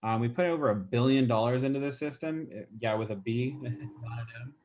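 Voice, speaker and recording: A real estate agent with a stuffy nose, casually walking through a call script with lots of fillers. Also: male voice, mid-range voice, fairly quick, a little mellow, highs cut off, a little hiss or hum. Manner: casual, chatty, many fillers. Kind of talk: role-play training demo.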